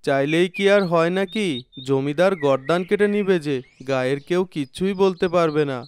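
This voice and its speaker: A man's voice: on the high side, normal speed, a little hiss or hum, close to an everyday voice. A man voicing a complaining young village son and older brother in a cartoon. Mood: worried. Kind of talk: cartoon dubbing.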